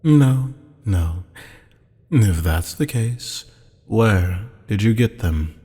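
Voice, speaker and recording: A haughty, sardonic demon, acted out by a man for an audio roleplay, sounding amused and teasing. The voice is very deep, dark and slow, with a husky drawl. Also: animated, full character voice. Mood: skeptical, warning. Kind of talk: scripted audio roleplay acting. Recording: long hall-like reverb.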